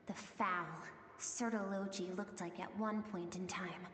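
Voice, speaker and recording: A woman's voice: medium pitch, steady tone, unhurried, fairly bright tone, roomy sound, big-room sound, a little hiss or hum, close to an everyday voice. A woman playing a knowing, composed guide in a video game, explaining things in an articulate, confiding way. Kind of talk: scripted game voice acting.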